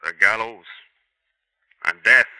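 An actor playing a blunt, scheming highwayman son in a 1950s radio drama. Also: slow pace, bright, forceful tone, smooth, no room sound, full character voice, low-quality recording. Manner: blunt, scornful.

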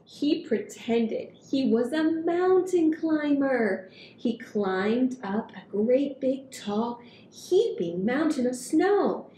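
A woman in her thirties reading a picture book aloud to small children as a story hour host, with expressive, drawn-out phrasing. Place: small indoor room at a table. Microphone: headset. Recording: roomy echo, low rumbling noise.

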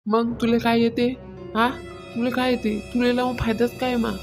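Cartoon mistress of the house, played by a woman: higher-pitched, bossy, stingy, self-important, nagging in rustic Varhadi Marathi. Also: little pitch movement, normal speed, soft background music, lightly acted. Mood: exasperated. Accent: Varhadi Marathi, rural Maharashtra. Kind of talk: Marathi cartoon comedy skit.

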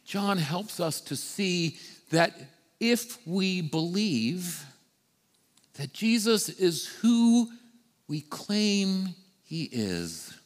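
A middle-aged man preaching a sermon as a priest, with deliberate phrasing and emphatic repetition. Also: fairly high pitch. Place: church sanctuary, standing before the altar. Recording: reverberant church hall.

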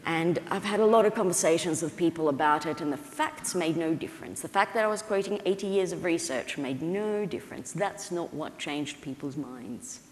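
Female keynote speaker, thirties, fairly low pitch, explains conversationally from a stage with audible hall reverb. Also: normal speed, few pauses, slight background noise. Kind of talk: conference talk, explanatory and conversational. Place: indoor stage at a conference, at a podium.

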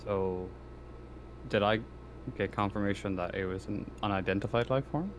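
A man's voice: lowish voice, moderate pace, slight background noise. A man talking casually as a player in an online tabletop game.

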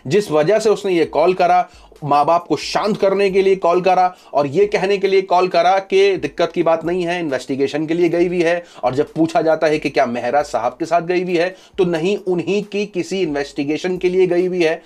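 High-pitched man, emphatic news commentator. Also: normal speed, a little mellow. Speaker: host of a news commentary channel.

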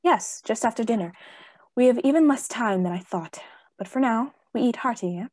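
Husky, dry close sound, stern scholarly professor, commanding, declamatory, radio play, woman actor. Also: on the high side, lively intonation, darkish tone, dry recording. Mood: businesslike. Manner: declamatory, deliberate, scripture-quoting.

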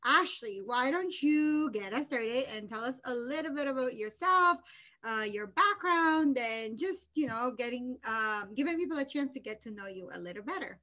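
Bright-voiced woman hosting a video chat. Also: high voice, expressive, moderate pace. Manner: friendly, hesitant with many fillers. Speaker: host. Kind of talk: casual interview over video call. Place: home room with wood ceiling. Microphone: laptop webcam on video call.

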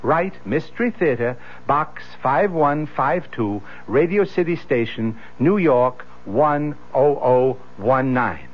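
A man addressing listeners as a radio producer in a measured, courteous scripted announcement. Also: mid-range voice, expressive. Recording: dull vintage radio recording.

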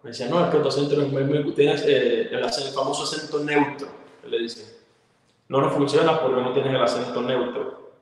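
A man's voice: medium pitch, fairly even intonation, a little fast. A young adult man with a Cuban accent, telling his story as a guest on a video call. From Cuba. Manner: fluent storyteller, frequent fillers. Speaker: guest, actor and model. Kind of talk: casual interview answers. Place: indoor room, plain wall. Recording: echoey room, long reverb.

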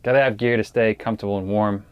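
Clear-voiced man chatting casually, dry close sound. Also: lowish voice, steady tone, fast talker, no echo, dull audio. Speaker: fishing vlogger. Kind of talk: casual vlog chat to viewers. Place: small ice fishing shelter on a frozen lake. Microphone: action camera facing him.

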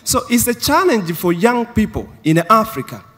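A man's voice: high voice, big pitch swings, normal speed, a bit bright. A man from Tanzania making an earnest comment from the floor of a large conference hall into a handheld microphone. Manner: earnest, gesturing with his hands. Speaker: audience member asking a question. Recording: long hall reverb.

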